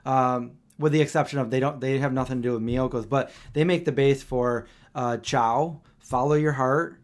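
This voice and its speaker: A man's voice: medium pitch, steady tone, moderate pace. A man hosting a live stream, chatting casually and reading out viewer questions in an easygoing way.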